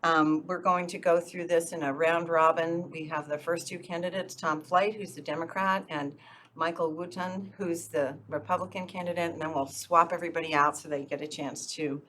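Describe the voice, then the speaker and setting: A woman's voice: sits low, steady tone, moderate pace, fairly bright tone, a little hiss or hum, low-quality recording. A woman moderating a local candidates debate, introducing the speakers in a friendly, informal way with some fillers.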